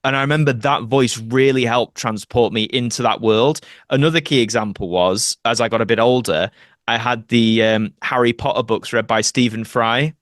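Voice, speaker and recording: A man's voice: medium pitch, normal speed, a bit bright, no room sound, studio quality. A young man talking casually as a podcast guest, chatty and full of anecdote. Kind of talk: casual interview chat, reminiscing.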